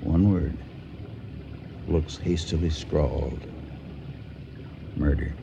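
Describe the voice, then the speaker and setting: An actor playing an older, dry-witted husband in a radio drama, speaking in a measured, deliberate way. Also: male voice, extremely low pitch, steady tone, vocal fry, full character voice. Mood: dry, pondering. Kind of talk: 1980 radio drama acting. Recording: muffled radio recording, faint noise.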